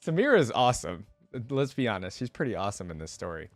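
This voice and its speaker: A man's voice: on the low side, big pitch swings, fast, dry recording, soft background music. A man giving casual, enthusiastic, informal reaction commentary as a video game fan. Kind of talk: casual reaction commentary and reading a story aloud.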